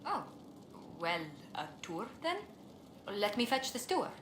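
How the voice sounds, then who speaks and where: A woman's voice: fairly high pitch, sing-song, a little slow, background noise. Polite, refined female diplomat, echoey. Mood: courteous. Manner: courteous, formal, elegant phrasing. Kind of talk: scripted video game dialogue.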